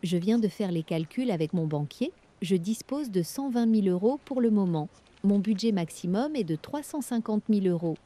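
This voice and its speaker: A woman reading everyday French dialogues for learners, with clear, careful scripted delivery. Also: medium pitch, lively intonation, moderate pace, mellow, close to an everyday voice.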